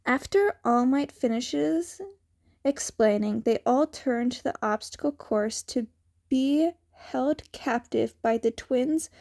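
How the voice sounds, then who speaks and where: A woman's voice: on the high side, dark tone, dry recording, clean studio sound. A woman reading fan fiction aloud as a scripted narration. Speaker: podfic reader.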